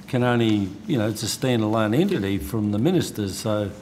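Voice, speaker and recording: An Australian man questioning officials as a senator in a hearing, with a blunt, halting, sardonic manner. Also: fairly low pitch, lively intonation, a little mellow, a little hiss or hum. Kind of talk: parliamentary questioning. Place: committee hearing room.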